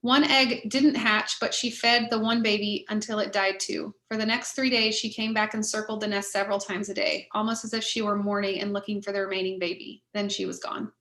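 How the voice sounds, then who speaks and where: A woman's voice: mid-range voice, level tone, brisk, few pauses, fairly bright tone. A woman casually moderating an online event and reading out messages from participants. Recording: roomy, echoey sound.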